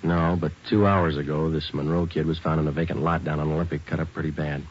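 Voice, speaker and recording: A man playing a terse, businesslike police detective in clipped, deadpan 1940s radio drama style. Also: a bass voice, fast, breathy edge. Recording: muffled, noisy old radio recording with clicks.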